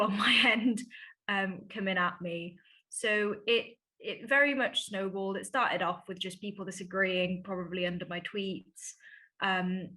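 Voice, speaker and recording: A woman, speaking as an activist in a documentary interview, measured and reflective, pausing to think. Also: medium pitch, pushed and bright.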